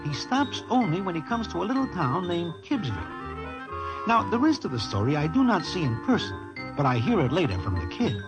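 An actor narrating as a wry, dry storyteller in 1940s radio style. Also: male voice, sits high, sing-song, moderate pace, low-quality recording. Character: wry male storyteller narrating in the present tense. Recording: narration over music. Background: music.

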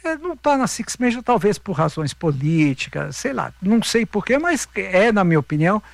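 High-pitched, gravelly Brazilian man telling stories. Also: big pitch swings, moderate pace, dry recording. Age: middle-aged. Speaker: interview guest, veteran marathon runner. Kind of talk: casual anecdotal interview talk.

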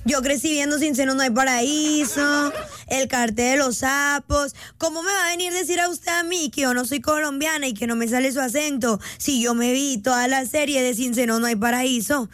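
Mexican female host, high voice, close dry sound, slangy playful banter with mock indignation. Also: steady tone, normal speed, fairly bright tone, no echo. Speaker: live stream host. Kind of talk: casual chat with listeners.

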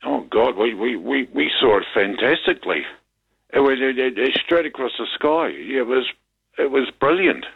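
Older nz bloke calling in, um-ing and repeating himself, gravelly low voice, tinny phone line. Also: male voice, expressive, moderate pace. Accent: New Zealand. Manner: hesitant and rambling, full of ums and repeats. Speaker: caller. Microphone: telephone.

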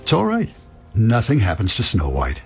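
An actor playing a gentle, kind man grieving his late wife, who talks softly and reassuringly to a child in a radio drama. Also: on the low side, huge pitch swings, normal speed, vocal fry, faint music behind, full character voice. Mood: tender. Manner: soft, tender, reassuring with a child. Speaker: widowed friend.

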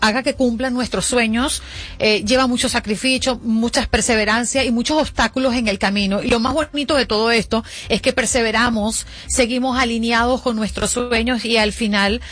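A woman hosting a radio interview, encouraging and smooth in her questions. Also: on the high side, steady tone, moderate pace, a bit bright, soft background music. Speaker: radio host.

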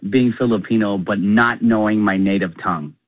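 A comedian talking fast and animatedly during a phone interview, laughing often. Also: male voice, fairly low pitch, dry recording. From Canada. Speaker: comedian guest. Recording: tinny phone line.

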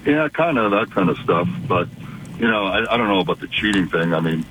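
A man calling in to a radio show, with a very deep voice and a relaxed, hesitant way of talking. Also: brisk, a little hiss or hum, poor audio. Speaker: caller.

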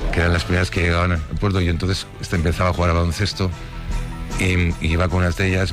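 Deep-voiced Spanish man recalling his childhood. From Spain. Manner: casual, storytelling with laughs and restarts. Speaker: interviewee. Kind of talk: recounting childhood memories.

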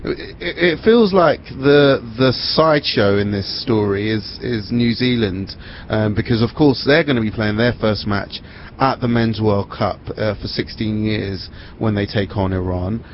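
A man's voice: fairly low pitch, measured pace, muffled recording, slight background noise. A man presenting sports news in a broadcast style, introducing reports and guests.

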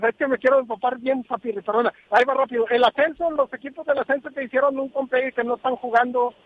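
A man's voice: extremely high pitch, fairly even intonation, fast talker, no echo. A man calling in to a radio show, asking rambling, hesitant questions. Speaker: caller. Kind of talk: casual radio call-in chat. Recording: tinny phone line.

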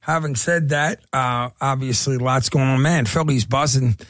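Man, radio co-host, halting, dry close sound. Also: mid-range voice, a bit bright, no echo. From Irish heritage. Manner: halting, many fillers and restarts. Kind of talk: casual radio chat.

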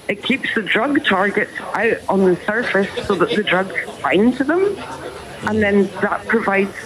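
A woman's voice: mid-range voice, low-quality recording. A woman with a Scottish accent, a cancer researcher, explaining her work plainly as a radio guest on a remote line from a park. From raised in the north of Scotland. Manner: plain, explanatory, slightly self-deprecating. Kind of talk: phone-in radio interview. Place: outdoors at a community park. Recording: crowd noise behind, remote line.

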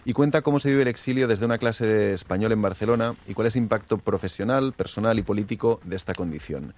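Male co-host, quick and low, fluent and well prepared. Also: darkish tone. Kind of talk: radio interview.

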